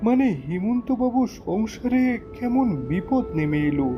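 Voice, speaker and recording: Guy doing village gossip in a spooky cartoon, high voice, lamenting, muffled lofi audio. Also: expressive, normal speed, over music. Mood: troubled gossip. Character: gossiping villager at a tea stall, troubled. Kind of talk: Bangla horror cartoon dialogue. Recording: dull lo-fi recording.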